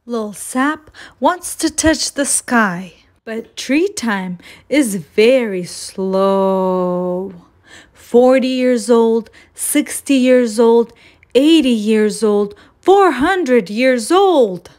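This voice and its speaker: A woman reading a children's picture book aloud in a measured, deliberate storytelling manner. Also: a little high, lively intonation, a little mellow. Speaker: children's storytime reader and host.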